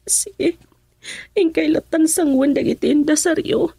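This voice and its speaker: An actress playing a heartbroken, tearful young daughter with an Ilocano accent in a radio drama. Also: female voice, high voice, unhurried, gravelly, warm tone, no room sound. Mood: sorrowful. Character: heartbroken jilted young daughter, grieving and pleading. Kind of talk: Filipino radio drama acting.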